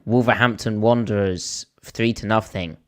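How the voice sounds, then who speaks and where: A man with a hoarse voice, co-hosting a podcast and rambling through stories in casual chat. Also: fairly low pitch, moderate pace. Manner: rambling storyteller, animated when telling a story. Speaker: podcast co-host.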